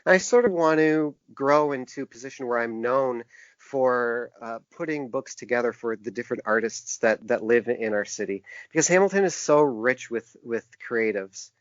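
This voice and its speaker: A man answering interview questions in a halting, earnest way. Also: medium pitch, dry recording. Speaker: interview guest; typographer and book designer. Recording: dull, narrow-band lo-fi sound.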